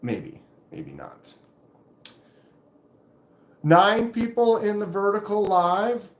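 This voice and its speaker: A man with a deep voice hosting a casual live stream, rambling loosely and reading viewer comments aloud. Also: extremely slow, echoey room. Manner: relaxed, rambling, reads chat aloud. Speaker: knife channel live streamer.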